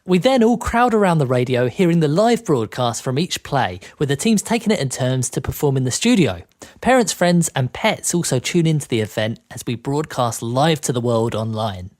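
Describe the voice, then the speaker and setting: A man's voice: medium pitch, very animated, normal speed, darkish tone. A man co-hosting a podcast in a scripted presenting style. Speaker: podcast co-host.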